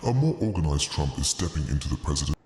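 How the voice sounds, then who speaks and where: A man's recorded voice played back with a pitch-shifted robotic effect. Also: very animated, quick pace, a little mellow, echoey room, long reverb tail.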